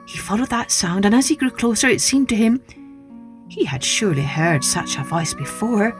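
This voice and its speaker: A woman narrating a scripted children's story as a podcast host, with expressive, deliberate delivery. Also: mid-range voice, music in the background.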